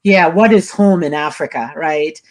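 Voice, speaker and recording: A woman's voice: low voice, moderate pace. A woman, an academic guest, explaining ideas in an assured, explanatory way. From Nigeria. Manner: assured, explanatory, frequent affirmations. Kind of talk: interview answers, explaining.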